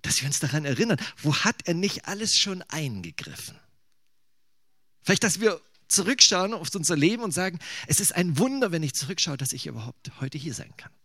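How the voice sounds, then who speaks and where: A man's voice: on the high side, sing-song, brisk, bright, forceful tone, no room sound, studio quality. A man from eastern Germany preaching in a conversational, musing way, with casual asides. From Thuringia, eastern Germany. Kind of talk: sermon.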